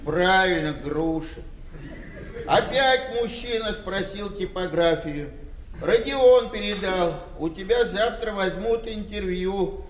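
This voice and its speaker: An older man acting a meek, plain-spoken, slightly muddled old village father in a Soviet radio play, with halting delivery. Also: high-pitched, animated. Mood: dutiful, literal. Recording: roomy, distant, faintly noisy radio-play recording.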